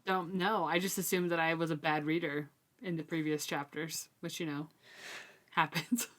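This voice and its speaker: Woman, low voice, chatty, laughs often, recovering from a cold, casual book talk. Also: fairly even intonation, moderate pace. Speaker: book podcast co-host.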